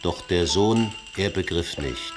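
A man narrating a radio play in a measured, solemn epic style. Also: sits low. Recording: narration over music. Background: music.

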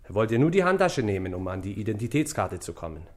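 An actor playing a cornered, cynical crook who gives in with grudging, terse resignation, in an old German radio crime drama. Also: male voice, on the low side, very animated, fast talker. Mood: resigned confession.

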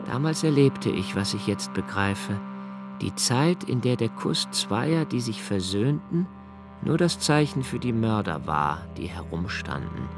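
An actor playing a brooding, solitary diarist in a radio play, with a measured, introspective delivery and an anguished, searching temper. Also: male voice, fairly low pitch, sing-song, over music. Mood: somber reflection. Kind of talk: literary monologue in a radio play.

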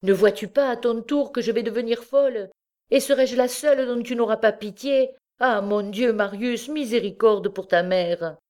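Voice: mid-range voice, unhurried, a little mellow, clean tone, natural voice. A narrator playing an anguished, imploring mother. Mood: desperate pleading. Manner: vehement, imploring, exclamatory. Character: distraught pleading mother defending her accused son.

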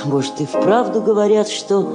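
An actress playing a dignified woman ambassador, speaking in a measured, heartfelt, declamatory way. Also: mid-range voice, expressive, loud music behind the voice, natural voice. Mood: wistful, solemn. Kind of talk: solemn Soviet stage drama acting. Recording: roomy old drama recording.

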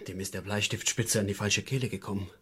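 An actor playing a sly, cynical, seedy press photographer and hustler in a radio drama. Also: male voice, on the low side, fairly even intonation, quick pace, noisy background, natural voice. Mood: sly, scheming.

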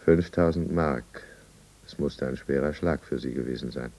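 A man's voice: a bass voice, normal speed, warm tone. An actor playing a desperate, besotted drunkard businessman in a 1950s German radio drama. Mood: bleak, remorseful. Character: desperate drunkard businessman, besotted and blustering, later remorseful narrator.

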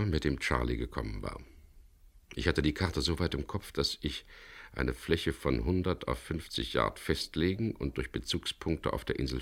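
Very deep, gravelly laconic skipper. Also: male voice, full character voice. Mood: matter-of-fact. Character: tough, wry male English boat skipper, crime-story narrator. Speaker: narrator and lead character. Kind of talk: first-person radio crime drama narration and dialogue.

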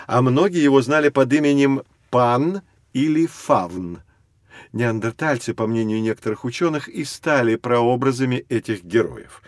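An actor playing a learned professor, explaining in a deliberate storytelling way in an educational audio drama. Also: male voice, mid-range voice, lively intonation, no room sound, clean studio sound, natural voice. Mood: patient, instructive. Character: learned male professor guiding children through prehistory.

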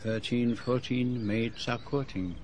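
An actor playing a shrewd, courteous middle-aged gentleman detective with a French-speaking European accent, in formal, precise phrasing for radio drama. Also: mid-range voice, steady tone, slow pace, slight background noise, close to an everyday voice. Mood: polite, grave. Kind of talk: radio drama dialogue and narration.

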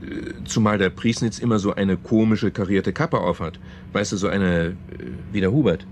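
An actor plays a man telling a story in a radio play, with a fairly low voice. He is earnest and patient, hedging and halting as he goes, in a natural conversational style. There is some noise on the recording. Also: darkish tone, natural voice. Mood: absorbed storytelling. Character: man haltingly retelling a novel to a sceptical woman.

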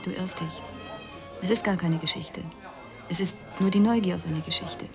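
A cool, defiant woman played by an actress in a radio play, her voice on the low side. She is curt and dismissive, with music behind her. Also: normal speed, close to an everyday voice. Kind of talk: radio drama dialogue.

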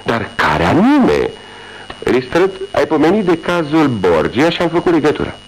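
An actor playing a brilliant, methodical detective who calmly explains his deductions in a radio drama. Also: on the high side, rough recording. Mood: explaining. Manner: measured, explaining deductions step by step. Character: brilliant methodical male detective, calm and wry. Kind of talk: 1980s radio drama acting.

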